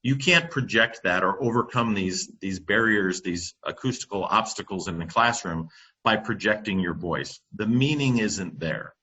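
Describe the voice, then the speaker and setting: A middle-aged man giving a presentation over a computer microphone, halting often with ums and restarts. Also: lowish voice, bright, forceful tone, husky. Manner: halting, many fillers and restarts. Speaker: company presenter on classroom audio. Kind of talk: webinar presentation.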